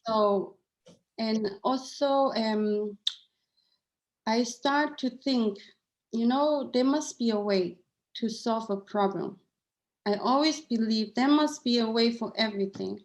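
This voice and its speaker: A woman's voice: on the high side, slow, low-quality recording. Earnest woman with a Cantonese accent. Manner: earnest and candid, frequent restarts and repeated words. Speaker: guest. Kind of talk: interview conversation.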